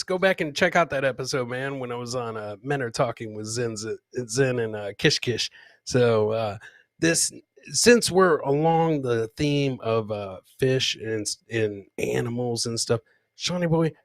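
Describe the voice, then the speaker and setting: A man's voice: mid-range voice, fairly bright tone, no room sound, studio quality. A man hosting a comedy podcast with casual, jokey live commentary, often repeating phrases for effect. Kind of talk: casual comic live-stream commentary, reading chat comments aloud.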